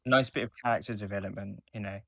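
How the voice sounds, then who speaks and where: Low, bright-voiced man on a podcast. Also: steady tone, fast, no echo, clean studio sound. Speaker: podcast co-host. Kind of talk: casual podcast discussion.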